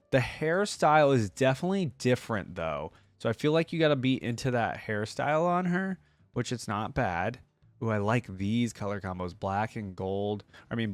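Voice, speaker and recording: A man's voice: medium pitch, no echo. A man giving casual, informal reaction commentary, thinking aloud with exclamations as a video creator.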